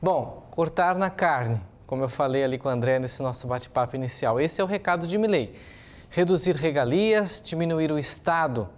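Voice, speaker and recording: A man's voice: on the high side, lively intonation, moderate pace. Brazilian male news commentator, opinionated and explanatory. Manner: opinionated, explanatory, uses rhetorical questions. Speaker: journalist, political commentator. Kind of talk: live news commentary, semi-improvised.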